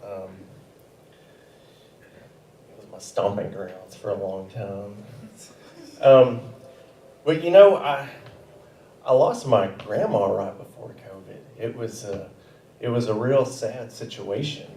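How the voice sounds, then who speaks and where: A young man from Arkansas with a Southern accent, doing a rambling, deadpan stand-up set in a comedy theater. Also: fairly low pitch, slow, pauses often. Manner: laid-back, rambling, deadpan with self-corrections. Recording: roomy theater mic from a distance.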